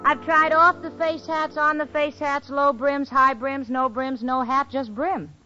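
A woman's voice: high voice, steady tone, unhurried, bright, forceful tone, faint music behind. An American actress playing a sensible, wry young woman with a sarcastic manner in a 1940s radio comedy. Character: wisecracking, sensible young female roommate, wry. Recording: dull noisy old radio, audience behind.

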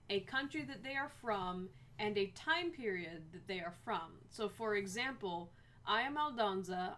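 A woman's voice: a little high, a bit bright, a little hiss or hum. Rambling woman explaining her hobby. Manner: rambling, many ums, explains in detail. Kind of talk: casual chat.

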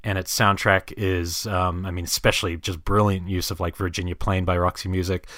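A man's voice: deep voice, flat delivery, fairly quick, a bit bright, no echo, clean studio sound. A man from New Zealand chatting casually about films as a podcast host, hesitant and rambling as he thinks aloud. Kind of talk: casual film discussion.

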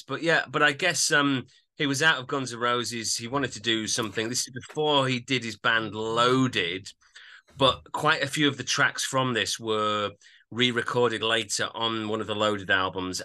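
A man's voice: medium pitch, sharp tone. A man co-hosting a music podcast, casually sharing information about albums in a conversational way.

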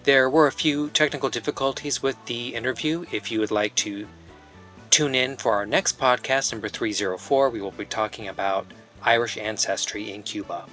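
A man's voice: medium pitch, fairly even intonation, moderate pace, sharp tone, faint music behind. A man hosting a podcast interview, asking questions with frequent restarts and repeated words. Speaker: podcast host.

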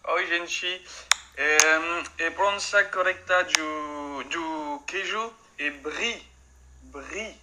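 Slow Frenchman with a French accent. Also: fairly high pitch. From France. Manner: slow, careful learner's Portuguese. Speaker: listener's husband in a voice message. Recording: noisy phone voice message.

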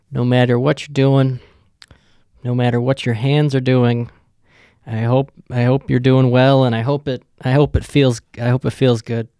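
A man's voice: mid-range voice, mellow, clean tone, no echo, clean studio sound. A young man hosting his own podcast, talking in a loose, joking and rambling way, with lots of swearing. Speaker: podcast host. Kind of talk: casual rambling monologue.

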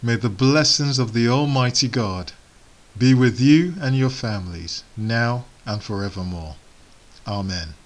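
Slow, measured male preacher, Igbo native. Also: medium pitch. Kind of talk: sermon.

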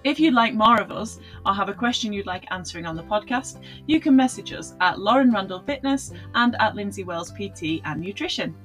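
A woman co-hosting a podcast, reading the intro and teasing her co-host with quick remarks. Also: medium pitch, lively intonation, a bit bright, soft background music. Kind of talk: casual podcast chat and scripted intro.

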